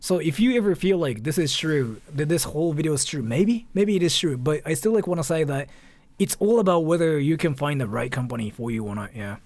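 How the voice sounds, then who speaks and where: Man from Japan, livestreamer, very varied pitch, rambling, repetitive, sweary, casual, dry close sound. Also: lively intonation, brisk, darkish tone, dry recording. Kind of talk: casual livestream reaction chat.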